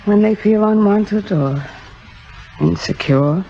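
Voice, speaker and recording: An actress playing a proud, temperamental, insecure middle-aged Broadway star, speaking haltingly with theatrical self-mockery in 1950s radio drama style. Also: female voice, medium pitch, warm tone, faint music behind. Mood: rueful, self-mocking. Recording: muffled old radio recording.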